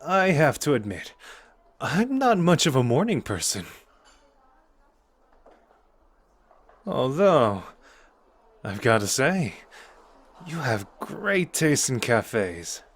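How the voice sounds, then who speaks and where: A male actor plays a cocky young sorcerer in an intimate roleplay. His pitch moves freely as he teases, with an earnest, protective streak underneath. The sound is close and dry. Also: very animated, takes it slowly, no room sound, full character voice. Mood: playful, casual. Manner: casual, teasing, intimate and conversational. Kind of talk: ASMR roleplay acting addressed to the listener.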